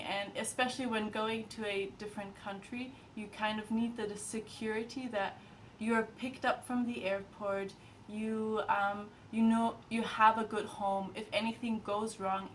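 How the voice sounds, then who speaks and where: Young woman, twenties, relaxed, chatty, lots of fillers, mid-pitched voice. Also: slight background noise, poor audio. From Austria and Germany, grew up in China and Thailand. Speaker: intern. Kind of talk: casual testimonial.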